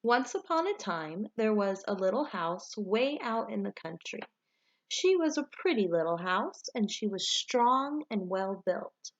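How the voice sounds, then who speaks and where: A woman teacher reading a storybook aloud to pupils and giving them instructions in a clear way suited to children. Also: mid-range voice, sing-song, unhurried, highs cut off.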